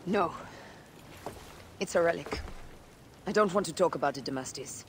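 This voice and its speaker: A woman's voice: on the low side, moderate pace, a little hiss or hum, close to an everyday voice. A woman playing a grave, guarded, resolute warrior of antiquity in a video game cinematic, terse and brooding.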